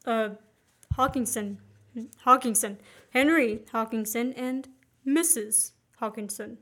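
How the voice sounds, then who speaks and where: A woman's voice: fairly high pitch, slow, a bit bright, exaggerated voice. A young woman playing a sardonic, commanding man on the run in a student radio play. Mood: smooth, covering up. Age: young adult. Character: fugitive man, sardonic, bossy, wrongly accused.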